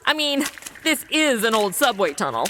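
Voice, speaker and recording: Bratty slangy young woman, bright lively voice, hall reverb. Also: on the high side, normal speed, a little hiss or hum. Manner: bratty, valley-girl slang. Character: vain, crude modern young woman Madison. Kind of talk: comedy audio drama acting.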